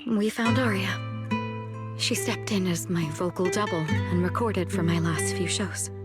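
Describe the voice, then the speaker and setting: A woman's voice: lowish voice, a little slow, close to an everyday voice. Regretful, reserved woman, voiced by an actress, over guitar music. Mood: regretful, resigned. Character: regretful retired singer woman, reserved and self-reproachful. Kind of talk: video game dramatic voice acting.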